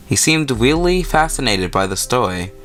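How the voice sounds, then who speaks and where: A man's voice: medium pitch, sing-song, moderate pace, fairly bright tone, soft background music. A man hosting a gaming video, reading a visual novel aloud and adding casual, informal asides.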